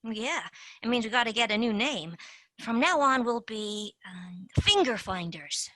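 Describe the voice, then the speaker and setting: A woman's voice: fairly high pitch, animated, measured pace, pushed and bright, no echo. A woman playing a brash, scheming female tooth fairy agent in a stage play. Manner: brash, wheeling-dealing.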